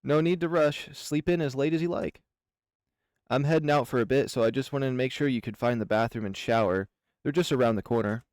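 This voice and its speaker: A man voicing a gentle, kind male furry creature who speaks softly and without hurry. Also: medium pitch, no room sound, clean studio sound, close to an everyday voice. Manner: soft, gentle, unhurried.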